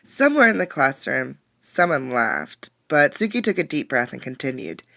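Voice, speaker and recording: A woman reading a picture book aloud as a teacher, expressively, pausing to put questions to young listeners. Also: a bass voice, vocal fry, dry recording. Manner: expressive storytelling, addressing young listeners directly. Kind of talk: interactive children's read-aloud with questions.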